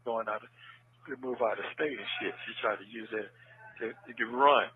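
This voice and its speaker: A man's voice: on the low side, measured pace, husky. A man chatting casually on a recorded jail phone call, slangy and repeating himself. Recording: noisy, tinny recorded jail phone line.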